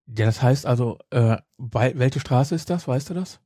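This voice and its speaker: A man's voice: mid-range voice, moderate pace, warm tone, dry recording. A man hosting a podcast, chatting casually about an audio drama in a teasing, nitpicking way. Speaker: podcast host.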